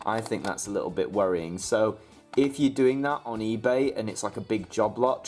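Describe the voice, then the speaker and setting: A man's voice: mid-range voice, a little mellow. A British man presenting a casual vlog guide to camera in a chatty, informal, demonstrative way.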